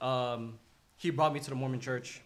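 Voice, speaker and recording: Male podcast guest, mid-range voice, halting casual storyteller who circles back over phrases. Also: medium pitch, steady tone, a bit bright.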